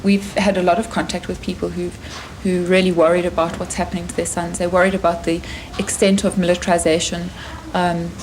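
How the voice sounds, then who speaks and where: South african woman in an interview, pretty flat pitch, stops and rephrases, bit of noise. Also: low-quality recording. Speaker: anti-conscription campaigner. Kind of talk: unscripted interview answer.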